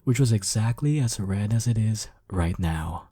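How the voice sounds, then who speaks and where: A man's voice: lowish voice, lively intonation, unhurried, warm tone, breathy edge, no room sound, full character voice. A cocky young man, played by a male voice actor in an intimate romance audio, teasing and easily flustered. He takes his time over the words, and the sound is close and dry, with no room around it. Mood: playful teasing. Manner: teasing, chuckling, sighing, stammering when flustered.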